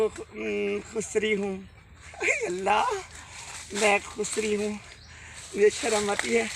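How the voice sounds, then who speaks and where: A man's voice: high-pitched, gravelly, pushed and bright, a little hiss or hum, exaggerated voice. A man voicing a boastful, rambling third-gender character in a comic monologue, repeating himself and admitting his tales are made up. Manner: feminine speech put on.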